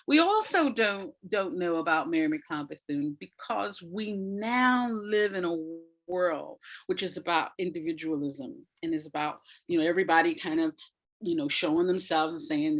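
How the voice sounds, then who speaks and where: A woman's voice: on the low side, moderate pace, a bit bright. Emphatic woman explaining in an interview. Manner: emphatic, repeats phrases for effect, laughs often. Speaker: interview guest, audio drama maker. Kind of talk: animated explanatory interview talk.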